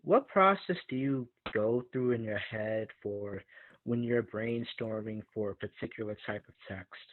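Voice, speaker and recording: A man's voice: on the low side, unhurried. A man tentatively asking a guest a question, his voice trailing off. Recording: phone-like call line with hiss.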